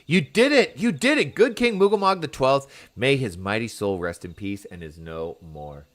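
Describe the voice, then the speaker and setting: An excitable, enthusiastic man giving casual, exclamatory live commentary as he plays an online role-playing game. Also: mid-range voice, normal speed. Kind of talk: live gameplay commentary, casual chat to viewers.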